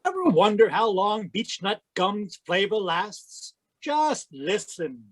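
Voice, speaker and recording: Man as vintage radio pitchman. Upbeat, salesmanlike, dry close mic. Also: big pitch swings, takes it slowly, no room sound, full character voice. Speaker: announcer. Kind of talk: old-time radio commercial announcing.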